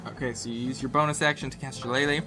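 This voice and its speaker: A man acting as game master, narrating a tabletop game casually and informally, often pausing to think aloud. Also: mid-range voice, steady tone. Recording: music behind the voice. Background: game soundtrack music.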